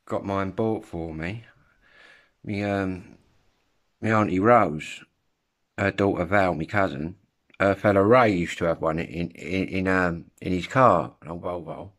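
A man in his fifties with an English accent, chatting casually and haltingly in an informal, rambling vlog. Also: low voice, fairly bright tone. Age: 50s. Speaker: CB radio hobbyist vlogger. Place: room at home. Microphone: phone at arm's length.

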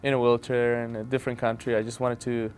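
A man's voice: medium pitch, flat delivery, fast talker, a little mellow, slight background noise. A young man from Venezuela, a wheelchair basketball player, speaking earnestly about his injury and recovery. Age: young adult.

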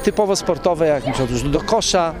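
A man's voice: fairly high pitch, lively intonation, brisk, loud music behind the voice, over a noisy crowd. A man organizing an outdoor event, interviewed with a handheld mic, listing items hesitantly with fillers.

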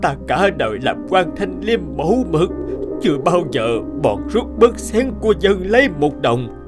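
An elderly male official for an animated film. He is a devoted, upright father, full of grief and pleading. His pitch runs high and moves very widely, with faint music behind. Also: unhurried. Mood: anguished. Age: older. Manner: emotional, imploring. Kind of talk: animated cartoon dialogue.

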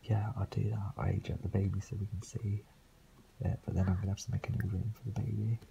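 A young man, slightly croaky, rambling casually as he comments on his gameplay. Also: fairly low pitch, little pitch movement, quick pace, warm tone, highs cut off, a little hiss or hum. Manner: rambling, chatty, frequent fillers. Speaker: let's play host.

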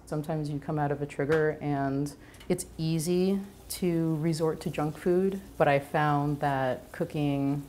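Low-voiced woman chef, earnest and reflective. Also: steady tone, warm tone, slight background noise. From Venezuela. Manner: earnest, thoughtful, with brief pauses and restarts. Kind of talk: reflective documentary interview. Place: home kitchen and living room, indoors.

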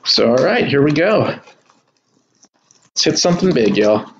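A man's voice: medium pitch, a little slow, creaky, highs cut off, slight background noise. A man hosting a live sports card break, talking casually to his viewers as he opens boxes at a table indoors. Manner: relaxed, chatty, addresses viewers as y'all. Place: indoor room at a kitchen table.

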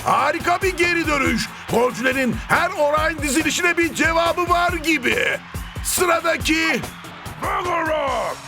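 An actor voicing an excitable TV football commentator in a cartoon dub. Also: male voice, extremely high pitch, measured pace, gravelly, exaggerated voice. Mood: thrilled. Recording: music behind the voice.

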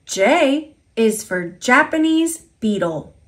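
American woman, fairly high voice, extremely slow delivery, children's read-aloud narrator, deliberate enunciation. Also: sing-song. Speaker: narrator reading aloud for toddlers. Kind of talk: slow alphabet picture-book read-aloud.

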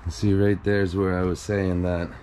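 Male vlogger, low-pitched and unhurried, casual walk-through narration on camera mic with some background noise. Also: little pitch movement, warm tone. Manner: casual, talking to viewers while walking around. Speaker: host of an abandoned-places exploration channel. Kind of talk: casual exploring vlog narration. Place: on the move through a derelict property, RV, overgrown yard and house.

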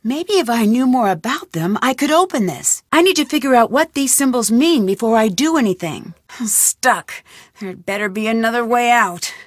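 Curious young woman detective, game voice lines, inquisitive, thinking aloud, fairly high voice, dry close sound. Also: animated, moderate pace, no room sound. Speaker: game heroine.

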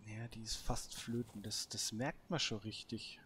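A man's voice: fairly low pitch, fairly even intonation, measured pace. Man muttering game commentary over noisy ambience. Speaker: gaming video host. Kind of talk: casual solo let's play commentary.

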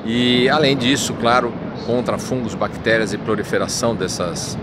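A man's voice: mid-range voice, moderate pace. A middle-aged man hosting a casual on-location demonstration, guiding along with short questions outdoors. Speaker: channel host. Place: outdoor parking lot. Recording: loud street and car noise.

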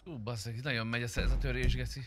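A man's voice: mid-range voice, steady tone. A man chatting casually while playing an online role-play game. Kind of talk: casual chat during online gameplay. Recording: bright harsh mic, faint noise.

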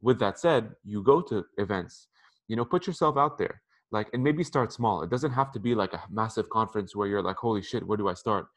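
A man's voice: lowish voice, a little fast. A man in his thirties giving casual advice over a video call through a headset, talking conversationally and often repeating words as he goes. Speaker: interview guest. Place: apartment room by a window. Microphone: headphones on a video call.

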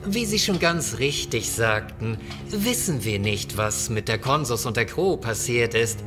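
A man's voice: mid-range voice, lively intonation, measured pace, music in the background, natural voice. An actor playing a cautious, sceptical spaceship crewman in a sci-fi audio drama, formal and polite. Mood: worried objection.

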